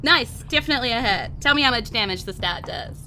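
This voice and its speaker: A woman's voice: on the high side, lively intonation, quick pace, pushed and bright, a little hiss or hum. A woman with a New Zealand accent running a tabletop fantasy game, narrating informally and playfully with vivid storytelling and casual banter.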